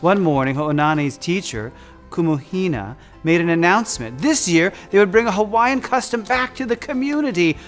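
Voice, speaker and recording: High-voiced theatrical man, campy storyteller. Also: moderate pace, soft background music. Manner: effusive, campy, often calls listeners "darlings"; dramatic, expressive delivery. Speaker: drag queen storyteller and guest. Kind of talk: theatrical read-aloud of picture books with chatty asides.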